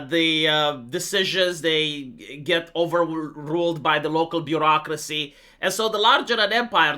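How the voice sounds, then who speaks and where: A young man with a Romanian accent talks through his political views in a loose, opinionated monologue. His voice is very bright and sits a little high, and he leans on plenty of "uh"s and "like"s as he goes. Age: young adult. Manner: opinionated, matter-of-fact, many fillers. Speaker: political commentator.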